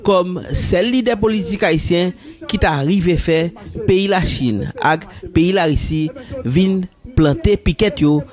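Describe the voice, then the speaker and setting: A man narrating a news report in a steady reporting delivery. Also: sits high, unhurried.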